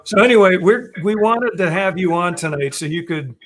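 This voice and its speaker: Hoarse man hosting a live stream. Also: high voice, lively intonation, normal speed, fairly bright tone. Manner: rambling, laughs often, reads viewer comments. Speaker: live stream show host. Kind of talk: casual live-stream chat. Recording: faint background noise.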